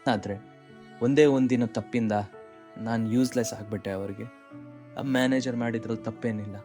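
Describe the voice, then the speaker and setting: A man's voice: mid-range voice, fairly even intonation, moderate pace, music in the background, lightly acted. A man with an Indian accent playing an earnest, dejected young employee, apologetic and hesitant, in short-film drama dialogue.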